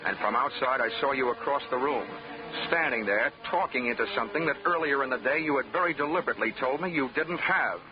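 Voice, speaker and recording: An American actor playing a wry, hard-boiled investigator in a 1940s radio drama, full of dry wisecracks. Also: male voice, mid-range voice, fast talker, close to an everyday voice. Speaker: detective narrator and lead character. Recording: dull 1940s radio recording with music.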